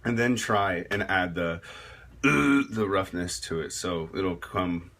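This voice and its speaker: A man with a low voice gives a casual tutorial on metal vocals. His tone is bright and forward even at that depth, and he speaks slowly. He rambles, restarts phrases and leans on fillers as he explains. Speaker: metal vocalist teaching screaming technique.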